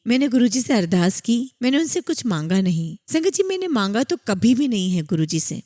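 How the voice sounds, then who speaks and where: A woman's voice: medium pitch, a little mellow. Earnest woman narrator with long reverb. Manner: earnest, addresses listeners directly and often. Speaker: devotional voice-over narrator. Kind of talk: reading a devotee's testimony aloud.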